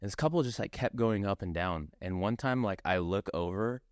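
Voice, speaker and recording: A man's voice: sits low, brisk, no echo, studio quality. A man chatting and telling stories casually as a podcast co-host, full of slang and swearing. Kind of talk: casual chat and storytelling.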